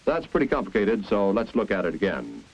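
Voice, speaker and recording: An American man reading scripted 1950s instructional film narration in a clear, deliberate, explanatory manner. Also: fairly low pitch, huge pitch swings. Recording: dull, band-limited 1950s film soundtrack.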